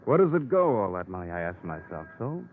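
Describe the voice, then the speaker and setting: A man's voice: low voice, sing-song, a little fast, faint music behind. An actor playing a streetwise police detective in a 1950s radio crime drama, wordy and musing as he reports. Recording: muffled, noisy old radio recording.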